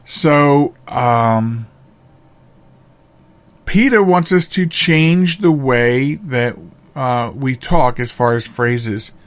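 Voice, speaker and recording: A middle-aged man hosting a podcast, giving casual opinionated commentary in a halting, emphatic way. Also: medium pitch. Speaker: podcast host.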